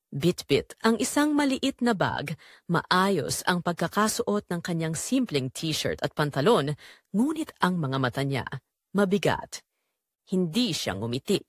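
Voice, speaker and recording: A wounded middle-aged mother played by a woman confesses her heartbreak as audio drama narration. Her delivery is earnest and deliberate, with a widely moving pitch and a very dry recording. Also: no room sound. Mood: wistful, sorrowful.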